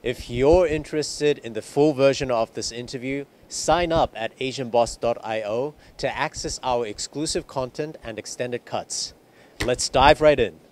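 A man in his thirties opens a street interview show with an upbeat, scripted address to the camera. He speaks in an even middle register, recorded outdoors on a city street. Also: mid-range voice, moderate pace, a little hiss or hum. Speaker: host.

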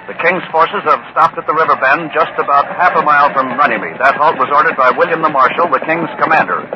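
A man's voice: fairly high pitch, fast talker, natural voice. A man playing a composed field correspondent in a vintage radio news drama. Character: composed male war-style correspondent reporting from the field. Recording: muffled vintage radio, faint noise.

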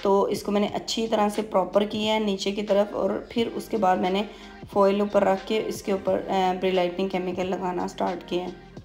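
Female beautician for tutorial voice-over: explanatory, level delivery with halting pauses, faint music underneath. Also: medium pitch, level tone, a little mellow. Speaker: beautician giving a hair tutorial.

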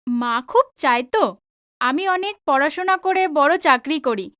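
A woman plays a sweet, determined young student in an audio drama. Her voice is extremely high, with lively intonation. She is polite and earnest. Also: normal speed. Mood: earnest, wistful.

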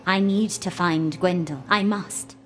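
A woman voicing a solemn, gentle elf in a video game, wistful and watchful, speaking in a measured, earnest way. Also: fairly low pitch, slight background noise, natural voice. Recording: music behind the voice.

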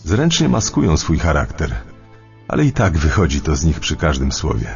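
An actor playing a formal, wary British intelligence agent in a radio documentary drama. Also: male voice, a bass voice, big pitch swings, moderate pace, gravelly, darkish tone, lo-fi sound, faint music behind, exaggerated voice. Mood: suspicious.

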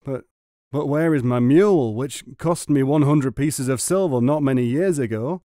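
A man's voice: mid-range voice, steady tone, brisk, a little mellow, dry recording, clean studio sound, lightly acted. A man voicing a gullible, bad-tempered rustic muleteer in a storybook, exclaiming and stammering. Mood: puzzled. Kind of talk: storybook character reading.

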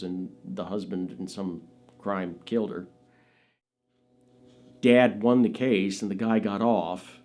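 Brief: middle-aged man, lowish voice, lively anecdotal interviewee, studio sit-down. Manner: animated, laughing storyteller who jumps into high-pitched quoted voices. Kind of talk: anecdotal storytelling. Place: indoors, studio with dark curtain backdrop.

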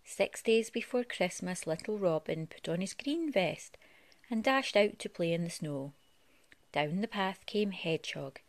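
Low-voiced woman reading a children's storybook. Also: expressive, unhurried, no room sound. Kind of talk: read-aloud children's storytelling. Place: living room at home.

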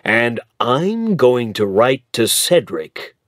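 A man's voice: medium pitch, big pitch swings, slow pace, fairly bright tone, no room sound, clean studio sound. A man reading as a practical older grocer. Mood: planning.

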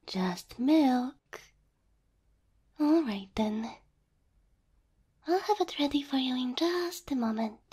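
Slow, breathy, high-voiced woman doing ASMR. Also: animated. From Slavic Eastern Europe. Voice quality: soft, breathy. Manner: tender, slow, coaxing. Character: gentle, doting, submissive young cow-girl girlfriend. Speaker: ASMR roleplay performer. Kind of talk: ASMR roleplay. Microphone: close microphone.

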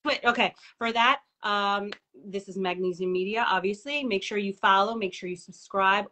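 Bright, effusive woman host. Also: medium pitch, moderate pace. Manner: effusive and enthusiastic. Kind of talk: live interview. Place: indoor room. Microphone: video call.

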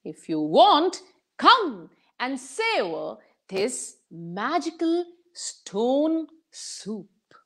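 Woman doing a wily traveler for a told story, showy and announcing, slow and lively. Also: high-pitched, voice pushed up, heavily acted. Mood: grand, boastful. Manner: grand, announcing. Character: clever hungry male traveler, showman cook.

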